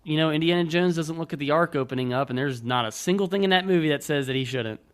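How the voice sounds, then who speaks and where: Man, higher than average voice, film podcast co-host, joking casual commentary. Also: quick pace, smooth.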